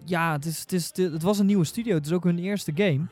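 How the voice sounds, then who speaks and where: Male podcast panelist, higher-pitched, hesitant and searching in casual talk, faint music underneath. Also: brisk, darkish tone. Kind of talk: casual discussion about games.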